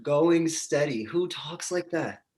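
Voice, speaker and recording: An actor playing a quirky, quick-witted male love interest in a screenplay table read, glib and theatrical. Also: fairly high pitch, darkish tone. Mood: bemused, snarky.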